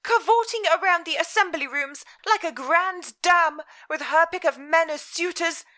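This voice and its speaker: A woman voicing a furious middle-aged Victorian mother, scolding in a shrill, near-shouting voice. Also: measured pace, heavily acted.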